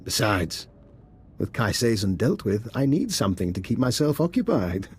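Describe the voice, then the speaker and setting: A man's voice: lowish voice, big pitch swings, moderate pace, a little mellow, husky, heavily acted. A man playing a cunning scientist agent in a video game, polite, formal and calculating in his persuasion. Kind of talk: video game cutscene voice acting.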